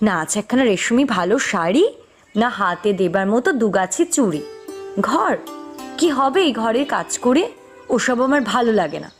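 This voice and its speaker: A woman's voice: on the high side, animated, moderate pace, soft background music, close to an everyday voice. A woman voicing a vain, lazy young village daughter in a cartoon, whiny, petulant and dismissive. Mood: sulky, complaining.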